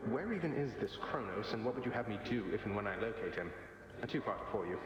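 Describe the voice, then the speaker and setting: A man voices a young prince from a video game, cocky and teasing. His lowish voice is casual and dryly sardonic, drenched in heavy echo and long reverb. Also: lively intonation, quick pace, noisy background, close to an everyday voice. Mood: wry curiosity. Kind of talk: video game dialogue acting. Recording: heavily echoing dreamlike reverb.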